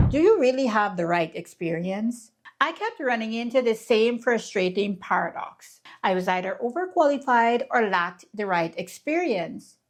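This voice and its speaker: Earnest woman, slightly high voice. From Guyana. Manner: earnest, candid and reflective. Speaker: vlogger. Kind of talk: scripted reflective vlog narration.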